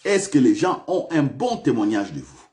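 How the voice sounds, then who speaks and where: A man's voice: mid-range voice, sing-song, normal speed, a little mellow. A male pastor preaching a sermon in a church, repeating questions to the congregation with big swings of emphasis.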